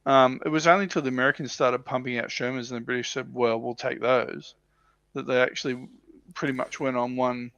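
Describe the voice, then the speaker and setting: A man's voice: mid-range voice, steady tone, a little fast, fairly bright tone, no room sound, dull audio. A man chatting casually about his hobby as a panel member on a livestream.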